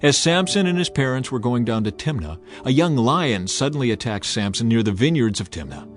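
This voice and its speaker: A man narrating a dramatized scripture audiobook as an expressive storyteller. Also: medium pitch, a little fast. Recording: music behind the voice. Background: music.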